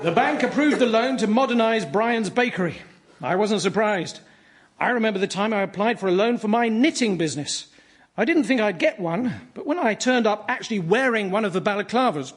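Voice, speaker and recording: An English actor playing an absurd, cheerfully oblivious self-styled expert, deadpan, in a surreal radio sitcom. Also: male voice, sits high, fairly quick. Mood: brisk, absurd.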